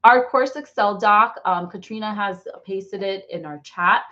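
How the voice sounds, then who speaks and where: A woman in her forties with an American accent, teaching an informal online class in a chatty, rambling, expressive way. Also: mid-range voice, a little slow, muffled recording. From born in Brazil, raised in New Jersey, US. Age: 40s. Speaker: online course instructor.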